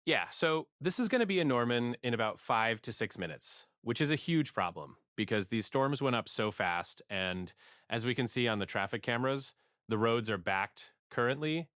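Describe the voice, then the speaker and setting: A man's voice: mid-range voice, expressive, moderate pace, a bit bright, dry recording, natural voice. Dry-sounding, urgent man as TV meteorologist. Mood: urgent, serious. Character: urgent male TV meteorologist tracking a dangerous storm. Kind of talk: live weather coverage.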